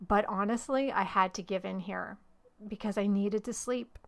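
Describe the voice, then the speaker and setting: A middle-aged woman hosting her own podcast, slightly hoarse from losing her voice, talking openly and personally about her own story. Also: medium pitch, steady tone, moderate pace. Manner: open, personal storytelling with light laughs. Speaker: podcast host and life coach. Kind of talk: solo podcast monologue.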